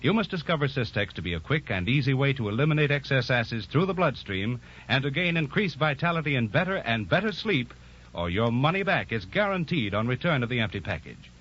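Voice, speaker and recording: A muffled old radio recording of a male commercial announcer from the 1940s. He reads an earnest sales pitch at a medium pitch, with lively swings and careful enunciation. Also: brisk, slightly rough. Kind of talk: 1940s radio advertisement, scripted persuasive read.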